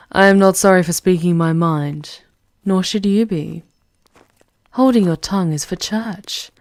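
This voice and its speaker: A woman's voice: on the low side, a little mellow, dry recording. A woman playing a regal, world-weary demon queen who guides a wanderer in a formal, intimate ASMR roleplay, often sinking towards a whisper. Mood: defiant, wry. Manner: measured, formal, dropping into breathy whispers.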